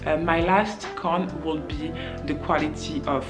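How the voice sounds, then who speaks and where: A woman's voice: sits low, fairly even intonation, a little slow. A young woman from France with a French accent, giving earnest advice in a casual vlog and leaning hard on "very". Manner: earnest, repeats "very" for stress. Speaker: vlogger. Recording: music bed under the voice.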